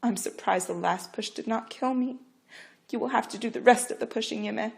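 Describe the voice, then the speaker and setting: A woman voicing a weak, dying young woman in labor, with a faint, breathy plea. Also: on the high side, moderate pace, pitched above the performer's own voice.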